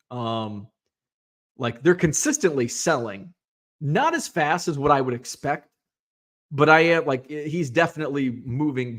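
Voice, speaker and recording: Host, male, 40s, rambling casual monologue, mid-pitched voice. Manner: rambling, restarts, thinking aloud. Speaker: sports card seller and show host. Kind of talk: casual solo talk.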